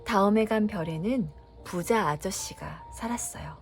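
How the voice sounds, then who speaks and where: A woman narrates a children's bedtime story in a gentle, unhurried manner, her pitch sitting at a middle level. Soft music plays faintly beneath her reading. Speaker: storybook reader.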